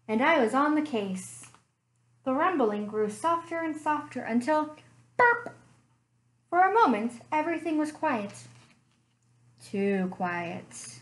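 Slow, expressive woman reading storytime. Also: fairly high pitch. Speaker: children's librarian. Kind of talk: storytime read-aloud. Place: small home room indoors.

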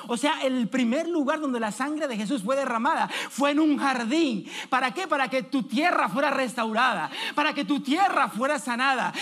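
Animated man preaching a sermon. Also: extremely high pitch. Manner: animated, calls out questions to the congregation, pacing the stage. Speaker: evangelist preacher. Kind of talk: energetic sermon. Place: church stage, indoors.

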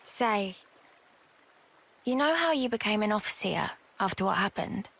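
A woman's voice: mid-range voice, animated, a little slow, fairly bright tone. A woman playing a brash, teasing young soldier in a video game, with a British accent and blunt, sarcastic, slangy delivery. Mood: gentle, concerned. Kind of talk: video game cutscene acting.

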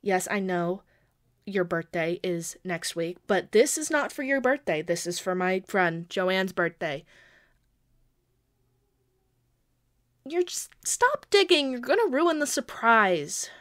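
A woman's voice: medium pitch, expressive, fairly bright tone. A woman playing a quirky, sheepish young girlfriend who pleads and defends herself hesitantly in an intimate audio roleplay. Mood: evasive. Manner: hesitant, pleading, halting with pauses. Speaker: amateur audio roleplay voice actor.

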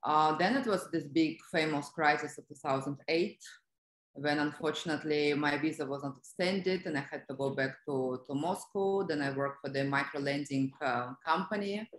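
A woman's voice: sits low, moderate pace. A woman from Russia with a Russian accent, conversationally telling her story as an interview guest on a video call. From Russia (Siberia). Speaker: interview guest, business development professional. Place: indoor room on a video call. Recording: roomy, echoey video call.

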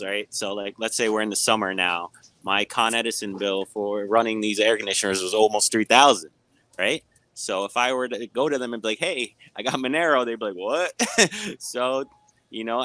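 A man's voice: lowish voice, pushed and bright. A man who runs a supermarket, answering questions frankly and often adding "right?" and "you know". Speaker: supermarket owner. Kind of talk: casual interview answers. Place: supermarket, indoors.

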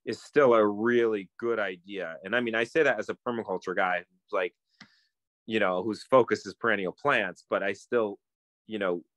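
A middle-aged man talks on a phone video call from home, his voice fairly low and the sound dry and close. He thinks aloud, meanders, and often stops to restart a sentence. The tone is relaxed and conversational. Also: moderate pace, no room sound. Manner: thoughtful, meandering, many fillers and restarts. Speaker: guest, permaculturist. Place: home room with bookshelves, indoors.

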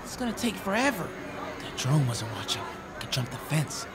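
An American actor voicing an eager, awkward, excitable teenage boy in a video game, sometimes halting. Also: a little high, crowd noise. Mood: wry muttering. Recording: noisy city ambience behind voice.

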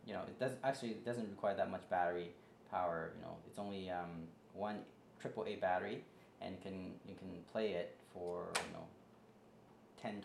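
A man's voice: on the low side, vocal fry, a little mellow. A man in his forties hosting a casual how-to tutorial at his home desk, rambling through fillers and restarts. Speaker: tutorial host. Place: home office desk, indoors. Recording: echoey room, faint background hiss.

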